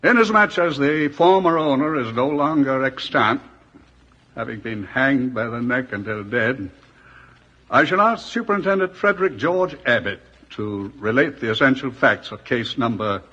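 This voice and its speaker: Pompous British police superintendent, bright and husky. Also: male voice, medium pitch, unhurried. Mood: pompous, dry. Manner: pompous, wordy, pedantic. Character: pompous police superintendent, custodian of a crime museum. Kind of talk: 1950s radio drama narration. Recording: dull old radio recording.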